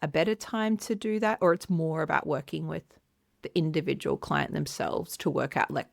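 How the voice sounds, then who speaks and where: Podcast host, woman in her forties, deep creaky voice, easy and laughing. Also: steady tone, normal speed, no echo, studio quality. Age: 40s. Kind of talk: casual interview chat.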